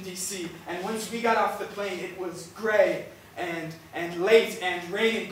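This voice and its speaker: Man acting old Puerto Rican grandfather, proud storyteller, earnest, stage play, high pitch, distant noisy recording. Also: a bit bright. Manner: deliberate, storytelling, slips into Spanish. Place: indoor stage, recorded from far off. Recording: roomy, distant theatre recording, faint noise.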